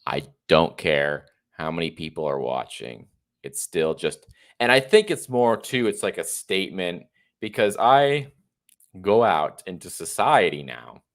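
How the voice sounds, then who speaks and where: Congested man with a low voice. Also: big pitch swings, a little slow. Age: 30s. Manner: chatty, rambling, opinionated. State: congested and a little tired. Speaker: political livestream host. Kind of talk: casual solo livestream monologue.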